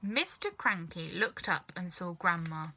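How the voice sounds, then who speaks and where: A woman reading a children's story aloud as an expressive narrator. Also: on the low side, unhurried. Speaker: storybook reader.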